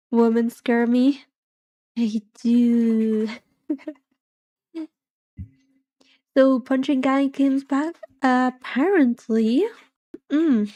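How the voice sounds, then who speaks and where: Soft, mellow woman streamer, unhurried. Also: high-pitched. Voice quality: soft-voiced. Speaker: livestreamer, vtuber. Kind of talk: casual chat reacting to a game livestream.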